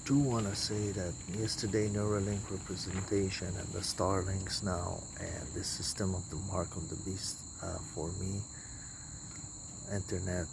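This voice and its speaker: A man with a Romanian accent giving a rambling, off-the-cuff monologue in a halting, repetitive, disjointed way. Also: sits low. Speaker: self-styled religious messenger recording a video monologue. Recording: clear background noise, chirping insects.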